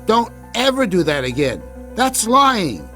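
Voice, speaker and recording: A man acts out a scolding parent in a children's story. The stern figure lectures firmly in a high, animated voice. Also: measured pace, faint music behind, exaggerated voice. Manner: firm, lecturing. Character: scolding mother and father, stern moralizing parents.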